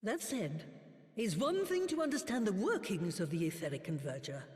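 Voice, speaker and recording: Crotchety old British sage played by an actress, echoing. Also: female voice, mid-range voice, big pitch swings, normal speed, darkish tone, natural voice. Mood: businesslike. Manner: blunt, dry, scolding. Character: wrinkly old woman sage, crotchety, blunt, sardonic. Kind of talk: dramatic video game acting. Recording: echoey hall with long reverb.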